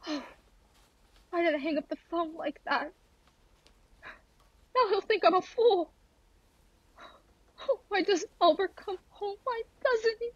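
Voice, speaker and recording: A woman's voice: extremely high pitch, muffled recording, heavily acted. A woman playing a fretful, imperious bedridden wife in an old-style radio thriller. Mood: frantic, distressed. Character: frantic, demanding bedridden wife, easily alarmed.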